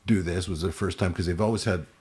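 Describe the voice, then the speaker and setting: A man's voice: deep voice, fast talker, breathy edge. A middle-aged French Canadian man, a guest telling stories with lots of laughter in a casual podcast chat. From Montreal, Quebec. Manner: animated storyteller who laughs a lot.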